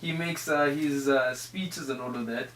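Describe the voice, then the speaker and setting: A man's voice: medium pitch, a little slow, recorded from a distance. South African man hosting in an echoey room. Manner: excitable, informal, peppering talk with "man" and "like". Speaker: show host and interviewer. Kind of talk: casual radio interview chat. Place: studio. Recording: echoey room, off-mic, background noise.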